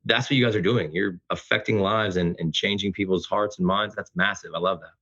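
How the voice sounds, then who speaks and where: A man's voice: low voice, steady tone, a little fast, bright, forceful tone. A middle-aged man talking casually and earnestly as an effusive podcast guest. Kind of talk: casual heartfelt conversation. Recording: dull lo-fi audio.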